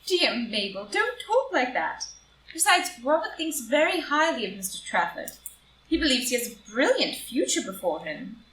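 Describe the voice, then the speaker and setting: Woman playing a proper, high-voiced society wife. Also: sing-song, normal speed, fairly bright tone. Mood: reproving. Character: proper, earnest, gracious English society wife. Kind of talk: group play reading for audio drama. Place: live in-person group reading in a room. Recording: roomy, distant live reading, noisy.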